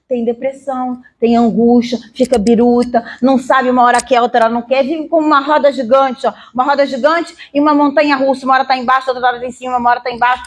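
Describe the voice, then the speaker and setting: Brazilian lady preacher, really high voice, teaching the bible informally, repeats stuff to drive it home. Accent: Brazilian Portuguese. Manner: emphatic, didactic, repeats phrases and checks that listeners follow.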